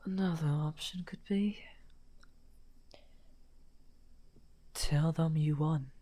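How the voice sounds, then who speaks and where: A man playing a lonely, misunderstood evil wizard in an ASMR roleplay, speaking softly and courteously in a breathy, whispery, halting voice. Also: fairly high pitch, fairly even intonation. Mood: musing, gentle. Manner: slow, halting, musing.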